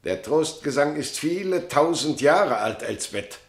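An actor in a German radio play playing a grave, anguished father who speaks solemnly and haltingly. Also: a little high, animated. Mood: anguished, fervent.

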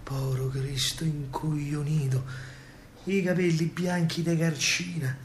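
A man's voice: a little high, fairly even intonation, unhurried. A man with a Roman accent performing a dramatic verse monologue on stage as an emotive, theatrical storyteller. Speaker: solo stage actor and storyteller. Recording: live performance with faint background noise.

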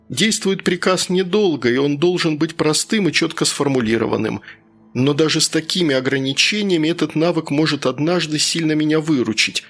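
Мужчина-чтец, голос чуть выше среднего. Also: male voice, fairly high pitch, a bit bright. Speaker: audiobook narrator. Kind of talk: scripted first-person story narration. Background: faint background music.

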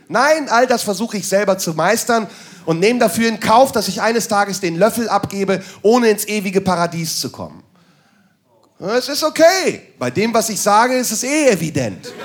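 A man doing a crude, sardonic stand-up monologue that moves into ranting. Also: high voice. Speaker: stand-up comedian.

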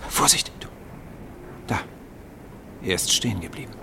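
A very deep-voiced, sceptical gentleman companion. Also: animated, a little slow, gravelly, background noise. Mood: curious, cautious. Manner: questioning, incredulous, hesitant. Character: sceptical, puzzled gentleman companion, sensible and questioning. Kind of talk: German radio play acting.

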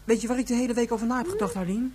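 A woman's voice: a little high, rapid-fire, a little mellow, husky. A woman playing an earnest, argumentative young boy in a science-fiction radio drama, stumbling over words in eagerness to explain. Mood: earnest, worried.

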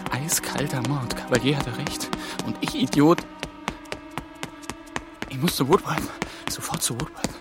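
Loud music surrounds an actor as a man on the run in a radio thriller. He thinks aloud in agitated, broken bursts, questioning himself as panic grips him, in a mid-pitched male voice. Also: a little slow, long pauses, close to an everyday voice. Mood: shocked, panicked. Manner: agitated, fragmented, self-questioning. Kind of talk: German radio drama monologue.

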